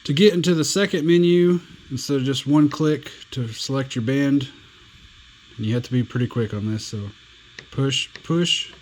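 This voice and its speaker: A man's voice: mid-range voice, a little hiss or hum, poor audio. A man giving a casual, plainspoken hands-on demo of a pocket radio, walking through its buttons and bands. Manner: relaxed, plainspoken, slow and unhurried. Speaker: radio reviewer.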